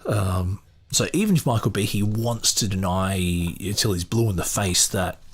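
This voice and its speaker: Husky, low-pitched man reading and commenting. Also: lively intonation, unhurried. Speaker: podcast host. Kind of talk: reading aloud with off-the-cuff commentary.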